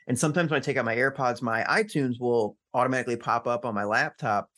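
An easygoing man co-hosting a podcast, chatting casually and laughing often. His voice sits at an ordinary middle pitch for a man, and he fills his talk with ums and uhs. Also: fast talker, fairly bright tone. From Central Illinois. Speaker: podcast co-host.

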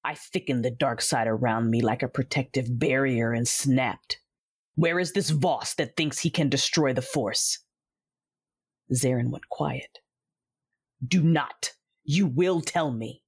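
A woman voices a proud female Sith warrior in a sci-fi audio drama, narrating in the first person. Her voice is husky and deliberate, cold and controlled. The sound is dry and close. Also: extremely low pitch, no echo, heavily acted.

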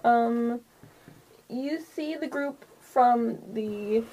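A woman narrating a tabletop game as game master in a casual, halting, improvised way. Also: a little high, warm tone, low-quality recording.

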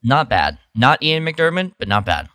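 A man's voice: medium pitch, very animated, moderate pace, sharp tone, dry recording, studio quality. A man co-hosting a podcast, chatting casually with informal, emphatic reactions. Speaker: podcast co-host. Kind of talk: casual chat.

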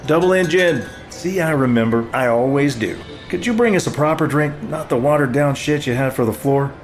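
A man plays a smooth villain in an audio thriller, posing as a salesman. He talks in a slightly high male voice, cocky and knowing, with music clearly behind him. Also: a little fast, lightly acted. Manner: knowing, teasing, self-assured. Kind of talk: audio drama thriller acting.

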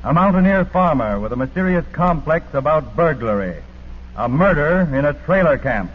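A man's voice: a little high, lively intonation, normal speed, husky. A man giving a dramatic 1940s radio announcement as the show's announcer. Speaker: show announcer. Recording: tinny, echoing, hissy 1940s radio.

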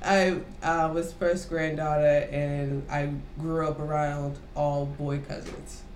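An American woman speaks in a relaxed, conversational style, like a co-host answering questions on a podcast. The room is very echoey, and there is faint noise behind her voice. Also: sits low, slow pace. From Massachusetts, United States. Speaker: podcast co-host being interviewed. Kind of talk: casual chat.

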